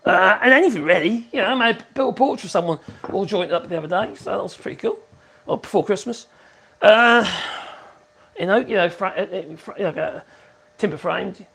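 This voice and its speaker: A man's voice: high-pitched, animated, fairly quick, vocal fry, fairly bright tone, slightly rough. A man, hosting a casual woodworking livestream. He rambles in a chatty way and coos to his puppy in a sing-song voice. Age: 50s.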